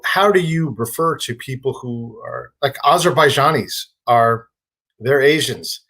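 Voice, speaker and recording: A man hosts a relaxed podcast conversation. He talks in a loose, conversational way, piling up examples before he gets to his question. His voice sits at an ordinary middle pitch. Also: husky, rough recording. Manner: conversational, lists examples, asks long rambling questions. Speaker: podcast host. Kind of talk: casual interview chat.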